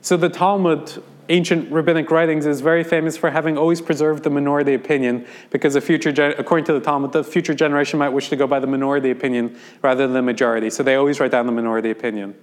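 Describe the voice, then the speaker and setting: A man's voice: on the high side, steady tone, very fast, hardly any pauses. An American man, a rabbi, giving an informal lecture to a class and explaining things conversationally with frequent ums and restarts. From United States.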